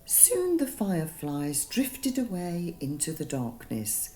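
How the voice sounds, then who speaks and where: A woman narrating a gentle bedtime story for children, soothing and deliberate, with dramatic emphasis on single words. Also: deep voice, very animated, slight background noise.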